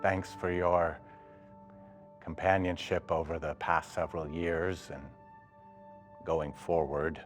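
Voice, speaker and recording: A searching, reflective old man voices a letter in a deep register. He goes very slowly and haltingly, thinking as he speaks, with faint music underneath. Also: flat delivery. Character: reflective, searching senior man writing to a companion. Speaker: actor reading seniors' writing. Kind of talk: dramatic letter reading.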